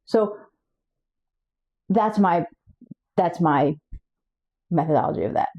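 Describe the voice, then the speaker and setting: Woman chatting to camera, lowish mellow voice, keeps restarting words, bit dull lo-fi audio. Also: slow pace. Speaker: language coach and channel host. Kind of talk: casual talk to camera.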